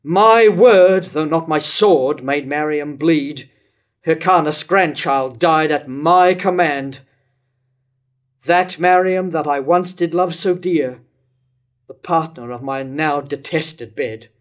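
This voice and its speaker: An older man acting a grief-racked old king in a Jacobean verse tragedy. His voice sits high for a man and moves slowly, declaiming each measured line with remorse and bitter self-reproach. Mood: remorseful despair. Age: older, around 70. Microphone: lectern microphone.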